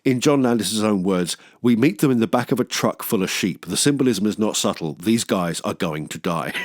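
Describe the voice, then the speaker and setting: A man's voice: on the low side, lively intonation, normal speed, dry recording, clean studio sound. A man hosting a film review show, reading scripted narration with jokey, theatrical asides.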